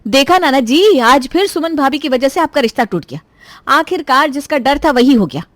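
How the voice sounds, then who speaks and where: Casting: female narrator as dramatic, meddlesome young married woman, cartoon drama, high pitch, dry recording. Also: animated, moderate pace, no echo. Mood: stirring trouble. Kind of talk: Hindi cartoon voice acting.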